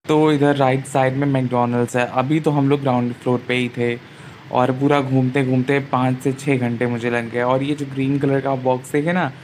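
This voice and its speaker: A young man narrates a casual vlog in an informal, chatty way. His voice sits at an average pitch, with faint noisy ambience behind it. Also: level tone, normal speed, a little mellow. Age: 20s. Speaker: vlogger.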